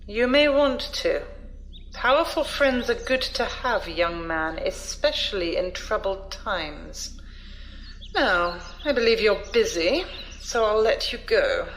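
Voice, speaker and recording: An actress playing a shrewd older noblewoman in a science fiction audio drama, speaking in a measured, condescending, probing way. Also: medium pitch, lively intonation, fairly bright tone, slight background noise, poor audio. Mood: cool, probing.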